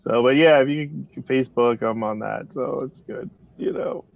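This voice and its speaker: A man's voice: mid-range voice, moderate pace, creaky. A man chatting casually as a stream guest, offhand and self-effacing, on dull lo-fi audio. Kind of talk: casual chat.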